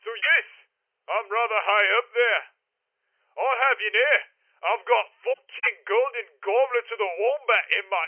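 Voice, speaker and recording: A man voicing a haughty, easily irritated online gaming nerd in a comedy radio sketch, prissy and boastful. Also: very high voice. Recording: dull, band-limited lo-fi audio.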